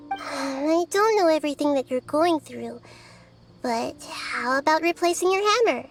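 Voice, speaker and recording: A woman voicing a young girl goddess who is gentle, curious and wise, speaking softly and thoughtfully in a game with music behind. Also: high-pitched, animated, unhurried. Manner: soft, thoughtful, patient. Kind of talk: game voice acting.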